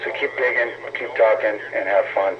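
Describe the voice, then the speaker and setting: A man's voice: on the low side, steady tone, moderate pace. A man trash-talking in a heated, casual CB radio argument, profane, taunting and sarcastic. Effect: CB radio transmission. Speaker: CB radio operator in an on-air argument. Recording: tinny narrow-band CB radio, noisy static.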